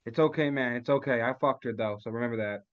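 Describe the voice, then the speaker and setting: A man's voice: medium pitch, fast talker, dull audio, natural voice. A man reading the part of a hot-headed, profane action-movie hero in a comedy table read. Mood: distraught.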